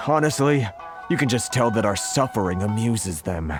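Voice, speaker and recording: An actor voicing a worried foreign merchant in a game, who sighs often and sounds apologetic. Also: male voice, fairly low pitch, lively intonation, takes it slowly. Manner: fretful, sighing and apologetic. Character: anxious foreign merchant, sighing and put-upon. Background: game music.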